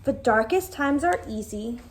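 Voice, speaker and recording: A woman schoolteacher reading aloud in an expressive, dramatic storytelling style with casual asides. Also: a little high, unhurried, slight background noise. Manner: animated, dramatic storytelling delivery.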